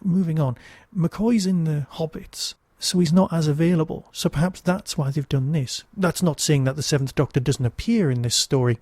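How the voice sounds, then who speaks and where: A man's voice: a little high, normal speed, hardly any pauses, darkish tone, dry recording. A man hosting a podcast, giving casual, digressive review commentary with wry asides.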